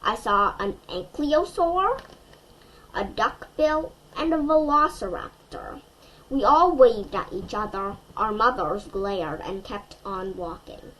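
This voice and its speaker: A woman reading a children's story aloud expressively and deliberately, as if to young listeners. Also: mid-range voice, lively intonation, fairly bright tone, low-quality recording.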